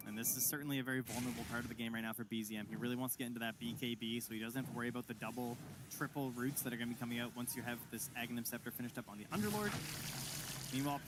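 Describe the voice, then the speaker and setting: A man's voice: mid-range voice, background noise. A man giving live analytical commentary on an esports match, explaining how the game is developing.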